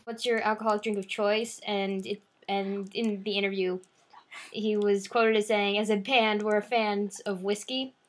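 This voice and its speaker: A woman's voice: medium pitch, level tone, a little slow. A woman telling anecdotes in a chatty, rambling, casual way.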